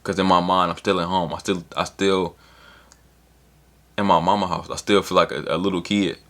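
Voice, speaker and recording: A man's voice: deep voice, level tone, normal speed, a bit bright. A man in his early twenties giving a loose, rambling motivational talk in slang, with casual swearing, as a solo vlogger. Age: 20s.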